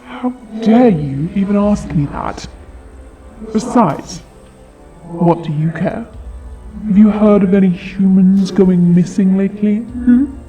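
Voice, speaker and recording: A man's voice: high-pitched, slow pace, pauses often, dark tone, dull audio. A man voicing a haughty, sardonic dragon in an audio roleplay, with a taunting, theatrical delivery. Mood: indignant, haughty. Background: fantasy game-style music.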